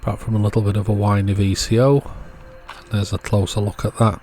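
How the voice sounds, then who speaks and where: A man's voice: on the low side, flat delivery, brisk, mellow, soft background music. A man giving casual, hands-on repair narration as a video host, in a matter-of-fact, understated way.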